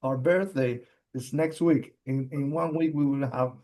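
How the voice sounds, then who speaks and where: A man with an Argentine Spanish accent, a geologist answering interview questions in English, halting with frequent fillers and repeated words. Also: mid-range voice, fairly even intonation, mellow. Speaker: mining company executive, geologist.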